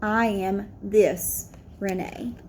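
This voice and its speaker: A woman's voice: medium pitch, fairly even intonation, very slow, a little mellow, a little hiss or hum, voice pushed down. A woman voicing a proud, earnest young immigrant schoolboy telling his own story in a picture-book read-aloud. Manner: earnest, declarative.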